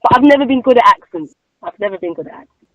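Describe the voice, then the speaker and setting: A woman's voice: on the high side, lively intonation, fast talker, a little hiss or hum, low-quality recording. A woman with an East London Cockney accent talking casually as an interview guest, emphatic and given to repeating herself. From East London, England. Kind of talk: casual radio interview conversation.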